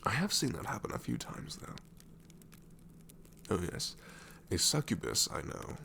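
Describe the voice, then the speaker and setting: A man's voice: wildly swooping pitch, measured pace, bright, forceful tone, a little hiss or hum, exaggerated voice. A man playing an ancient, sassy shadow demon in an ASMR roleplay, wry and world-weary but tenderly reassuring, often slipping into a whisper. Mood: dry, musing. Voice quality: very deep, gravelly, often breaking into whisper.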